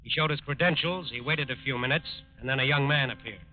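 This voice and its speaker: An actor narrating a 1940s radio crime drama in a measured, emphatic, formal style. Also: male voice, mid-range voice, a little hiss or hum, close to an everyday voice. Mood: solemn, dramatic. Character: authoritative, solemn male crime drama narrator. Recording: tinny old-time radio broadcast.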